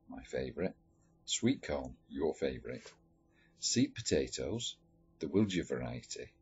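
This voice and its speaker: Deep, husky male storyteller. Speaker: storyteller reading aloud. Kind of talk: expressive read-aloud of a letter story. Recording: dull lo-fi recording with music behind. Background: background music.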